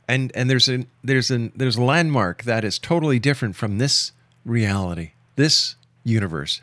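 A man's voice: medium pitch, a bit bright, no room sound. A man hosting a radio talk show, questioning his guest in a direct, skeptical way. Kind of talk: probing radio interview.

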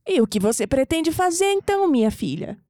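An actress playing a calm, reverent old grandmother in an audio drama, with a Brazilian accent and a measured, solemn way of speaking. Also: high voice. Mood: solemn, gentle.

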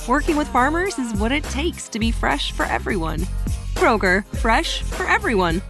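High-pitched woman in a commercial. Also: big pitch swings, moderate pace. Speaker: commercial voice-over. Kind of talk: scripted supermarket ad read. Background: music.

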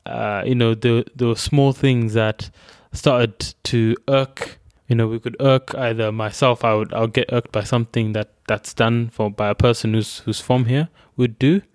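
A man's voice: medium pitch, a little mellow, clean tone, no room sound. Thoughtful young Zimbabwean man, dry sound. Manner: thoughtful, frequently restarting phrases. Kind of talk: reflective personal account.

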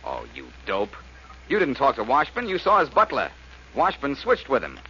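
Wry American radio sleuth, punchy and bright. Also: male voice, medium pitch, wildly swooping pitch, moderate pace, heavily acted. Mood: urgent, commanding. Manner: wry, wisecracking. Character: quick-witted, cocky amateur sleuth, adult man. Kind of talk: 1940s radio drama acting. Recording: noisy, muffled old radio recording.

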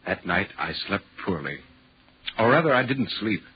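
An actor playing a troubled but rational gentleman in a measured, theatrical 1940s radio horror drama. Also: lowish voice, sing-song, slight background noise. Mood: fevered, brooding. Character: troubled, rational gentleman visitor narrating a ghost story. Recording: dull, muffled 1940s radio recording.

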